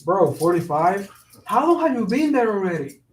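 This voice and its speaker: A man's voice: sits high, very animated, moderate pace, slight background noise. A man acting an earnest, worried and insistent friend in a staged play reading, blunt and pressing. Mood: resigned. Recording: echoey room.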